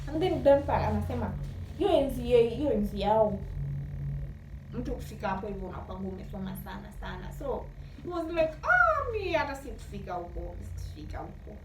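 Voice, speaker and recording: A woman with a Kenyan accent telling casual personal stories in an animated way, acting out remembered dialogue and switching between two languages. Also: a little high, fairly quick. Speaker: vlogger. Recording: roomy echo, distant mic, noisy background.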